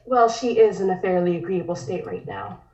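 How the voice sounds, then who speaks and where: A woman's voice: lowish voice, normal speed, a little mellow, roomy sound, lo-fi sound, natural voice. A woman playing a discreet, uneasy sanitarium orderly in a script table read, polite and hesitant.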